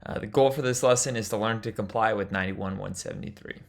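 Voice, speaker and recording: A man's voice: fairly low pitch, fast, creaky. A man giving an instructional lecture as a flight instructor, explaining things in an informal way.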